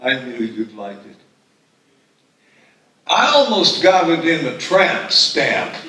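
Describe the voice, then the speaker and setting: A man playing a mischievous, sweetly stubborn eighty-year-old husband with an earnest deadpan, at a live table read. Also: a little high, slow pace, slight background noise, exaggerated voice. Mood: pleased, wistful. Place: live festival stage reading. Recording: echoey hall, distant microphone.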